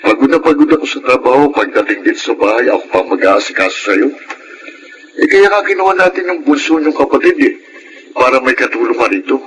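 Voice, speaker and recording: A man's voice: medium pitch, expressive, a little fast, background noise, lightly acted. A man with a Filipino accent playing a pushy, impatient male lover in a radio drama. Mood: tired, irritated.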